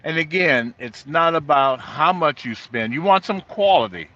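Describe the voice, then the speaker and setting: A man's voice: fairly high pitch, expressive, normal speed, bright, forceful tone. A middle-aged or older man giving a casual, explanatory vlog talk in a plainspoken, folksy, rambling way, as a trucker hosting his own video. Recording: dull lo-fi sound inside vehicle.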